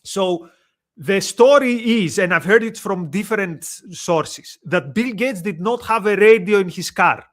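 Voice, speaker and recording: A man with a Greek accent chatting and telling stories casually as a co-host, in a halting, word-repeating way. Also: sits high, fairly bright tone. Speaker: show co-host. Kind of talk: casual chat and storytelling.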